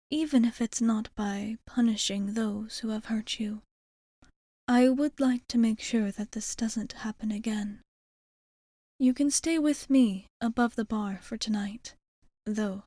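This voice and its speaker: A woman playing a gentle, courteous vampire bartender in an ASMR roleplay, speaking softly and breathily in formal, measured, reassuring phrases. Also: on the high side, no room sound, studio quality. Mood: resigned, caring. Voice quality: soft, breathy, half-whispered. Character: gentle, protective female vampire bartender, old-fashioned and courteous. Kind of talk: ASMR roleplay, soft whispery delivery.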